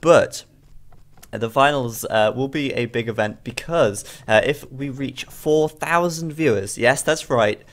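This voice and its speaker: A young man with a cold and a stuffy nose, tired late at night, casting a strategy game match in rambling commentary full of fillers. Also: mid-range voice. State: bunged up with a cold. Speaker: game caster.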